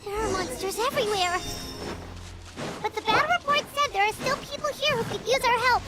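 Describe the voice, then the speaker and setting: A woman's voice: very high voice, brisk. A woman voicing a small, plucky, childlike companion in a video game, eager and exclamatory, over game music. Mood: determined. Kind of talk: video game character acting. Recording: loud game music behind.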